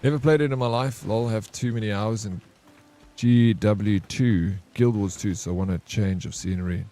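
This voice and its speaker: A young man casually commenting on his gameplay as a streamer, with a flat, monotone delivery. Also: on the low side, moderate pace.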